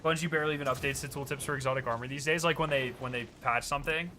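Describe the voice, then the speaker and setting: A man's voice: medium pitch, fairly even intonation, very fast, pushed and bright, slight background noise. A man in his late twenties chatting casually on a live stream, rambling and swearing as he reads and answers chat. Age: late 20s.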